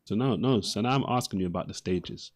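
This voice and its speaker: A man's voice: fairly low pitch, quick pace, breathy edge. A man arguing in an unscripted live debate as its host, insistently repeating and restarting his phrases.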